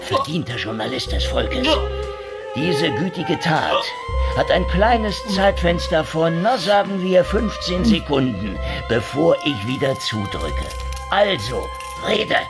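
Sardonic tough interrogator, highish animated voice, theatrical taunting, audio drama. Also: male voice, slow pace, creaky, full character voice. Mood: menacing. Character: menacing sardonic male interrogator in handcuffs, mockingly polite. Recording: loud music under the voice.

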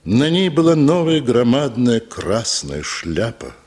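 A man narrating a literary radio play in a measured, dramatic storytelling manner. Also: mid-range voice, very animated, a little mellow.

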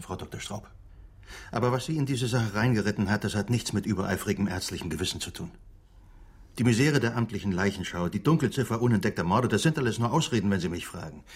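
Husky, skeptical male interrogator. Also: fairly low pitch. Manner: measured, skeptical, accusing. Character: blunt, probing male interrogator confronting a woman doctor. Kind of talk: radio drama acting.

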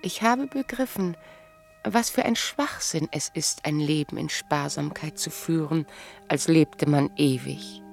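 A proud, knowing, mature wife in a radio drama. She speaks slowly and deliberately with a creaky edge, irony cutting through each phrase. Faint music sits behind her. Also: deep voice. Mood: proud, ironic. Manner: measured, ironic, deliberate. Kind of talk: radio play acting, literary dialogue.

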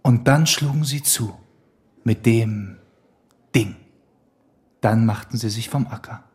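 Schauspieler als trockener, selbstsicherer Kommissar im Hörspiel. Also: male voice, medium pitch, slow pace, darkish tone, natural voice. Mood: pressing, reconstructing. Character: wry, self-assured male police detective. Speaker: police detective. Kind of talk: German radio crime drama acting.

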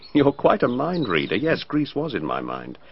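An actor playing a self-absorbed, dryly amused artist in a radio drama, with a British accent and a casual, detached manner. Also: male voice, medium pitch, wildly swooping pitch, a little slow, vocal fry, heavily acted. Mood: dreamy, aloof. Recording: dull lo-fi radio recording with faint noise.

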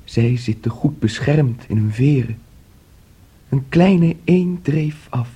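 Troubled, husky, dreamy young man. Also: mid-range voice, animated, warm tone, dull audio, exaggerated voice. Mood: dreamy, despairing. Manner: brooding, dreamy, halting. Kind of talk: radio play acting.

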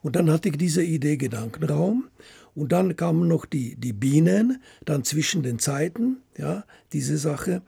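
An older man, a writer being interviewed, talking haltingly as he searches for words and often adding little tag questions. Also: on the high side, animated, gravelly, warm tone, breathy edge, no echo, clean studio sound. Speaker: radio play writer as interview guest. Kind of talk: unscripted interview chat.

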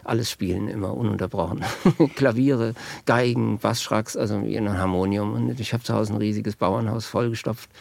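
A man's voice: fairly low pitch, steady tone, normal speed, creaky, hardly any pauses, darkish tone, no room sound. A man, a composer, talking enthusiastically in a casual interview and laughing now and then.

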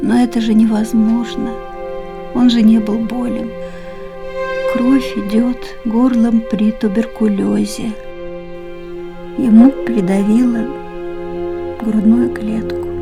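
A woman playing a grave, subdued figure in a radio play, speaking in a hushed, sombre literary manner. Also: on the high side, little pitch movement, slow, gravelly, long pauses. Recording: radio drama under loud ambient music.

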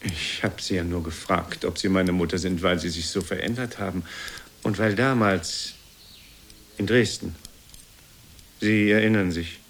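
Deep, gravelly meek aging son. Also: male voice, fairly even intonation, fairly bright tone. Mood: unsure, pleading. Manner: hesitant, deferential, halting. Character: meek, guilty, aging son facing his mother. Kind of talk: German radio play acting. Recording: noisy older radio recording.

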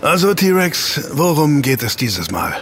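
An actor in a German audio drama playing a suave, jovial newspaper reporter with a chummy, teasing manner. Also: male voice, a little high, very animated, slow, fairly bright tone, exaggerated voice. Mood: chummy, curious. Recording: clear ambient noise behind.

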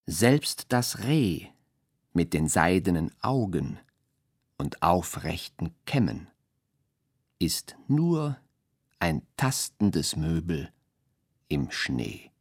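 A strange male voice of dreamlike radio poetry. He speaks extremely slowly and haltingly, swooping in pitch, with a husky, breathy tone. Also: very animated, heavily acted. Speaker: radio drama performer. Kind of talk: literary radio play recitation, surreal poetic prose.

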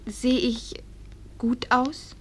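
An actress playing a bright, eager but self-doubting teenage schoolgirl with an earnest, pleading manner in a German radio drama. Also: on the high side, level tone, very slow, breathy edge, full character voice.

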